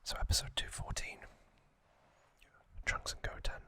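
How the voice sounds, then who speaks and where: A man hosting an ASMR video, whispering a soft, hesitant recap and correcting himself now and then. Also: a little slow. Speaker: ASMR host.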